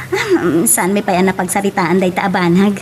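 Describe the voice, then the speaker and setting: A woman's voice: medium pitch, very animated, normal speed, darkish tone, slight background noise, natural voice. A woman with an Ilocano Filipino accent playing a friendly, coy young office worker in a radio love drama. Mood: friendly, bashful.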